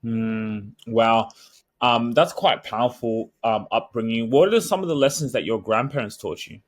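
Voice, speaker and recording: A man's voice: mid-range voice, normal speed. A man hosting a podcast interview, asking short questions and encouraging his guest enthusiastically. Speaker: podcast host.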